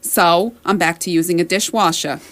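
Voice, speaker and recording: A woman's voice: on the low side, fairly even intonation, moderate pace, a bit bright, natural voice. A woman playing a chatty, fretful middle-aged wife, rambling plaintively in a comedic radio sketch.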